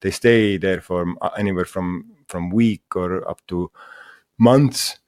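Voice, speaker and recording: A man from Estonia, a guest in an interview, speaking English in a halting way with many fillers and restarts. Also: low voice, steady tone, darkish tone, no echo, clean studio sound. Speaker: guest, mushroom school founder. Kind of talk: casual interview talk.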